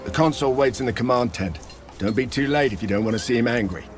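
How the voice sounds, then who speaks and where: A man's voice: medium pitch, fast talker, soft background music, close to an everyday voice. A man playing a seasoned, wry Roman centurion and mentor in a video game, bluff and commanding. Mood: commanding, instructive. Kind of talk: scripted video game acting.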